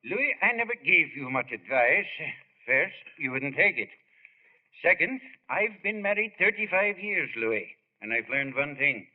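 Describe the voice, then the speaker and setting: A timid old husband and father in a vintage radio play. His fairly high voice jumps about as he confides haltingly, through a thin, muffled broadcast. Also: sing-song. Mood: nervous, confiding. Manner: hesitant, confiding, exasperated. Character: timid, henpecked old father giving roundabout advice. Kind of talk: 1940s radio drama acting.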